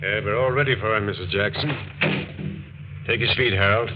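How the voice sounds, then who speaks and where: A man playing a brisk, confident sanitarium attendant in a 1940s radio drama. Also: fairly low pitch, close to an everyday voice. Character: brisk, reassuring male sanitarium attendant, tough-case handler. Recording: dull noisy 1940s radio recording.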